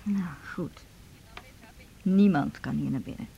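Low, creaky, drowsy, irritable woman. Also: slow pace, mellow, lo-fi sound, exaggerated voice. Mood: irritated. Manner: dismissive, grudging. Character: sleepy, irritated, sceptical woman roused at night. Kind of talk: radio drama acting. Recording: noisy, rumbling radio play recording.

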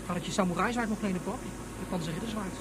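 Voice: racing, lightly acted. An actor playing a boisterous, naive young boy who chatters in childish exaggerations in a science-fiction radio play. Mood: excited, indignant. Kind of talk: Dutch science-fiction radio drama acting. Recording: clearly noisy, rumbling ship ambience.

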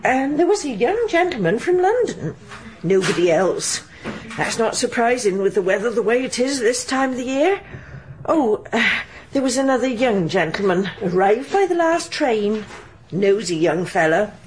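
A gossipy, homely woman innkeeper who chatters on with a very lively, sing-song voice. Clear hiss sits under the old broadcast recording of this radio play. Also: on the high side, moderate pace. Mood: chatty. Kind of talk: radio drama acting. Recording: archive radio drama with steady hiss.

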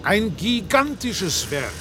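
An actor playing a calm, evasive official in a radio play, speaking formally and with composure. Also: male voice, sits high, takes it slowly, music in the background, full character voice. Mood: composed, reverent.

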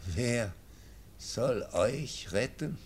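An actor playing a frail, dying old nobleman, speaking verse slowly and fading into whispers. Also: medium pitch, animated, a little hiss or hum. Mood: solemn. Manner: slow, fading, drops into whispers. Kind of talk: classic radio drama verse.

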